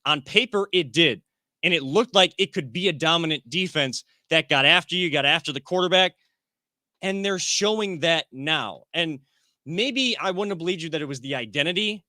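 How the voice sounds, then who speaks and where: A man's voice: fairly high pitch, pushed and bright, no room sound, clean studio sound. A man hosting a casual sports talk show, thinking aloud and often restarting his sentences. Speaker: sports podcast host.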